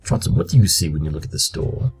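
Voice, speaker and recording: An actor playing a brilliant, formal pony detective who speaks precisely and matter-of-factly in an audio drama. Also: male voice, rumbling low, very animated, quick pace, gravelly, a little mellow, slightly rough, soft background music, heavily acted. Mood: focused, clipped.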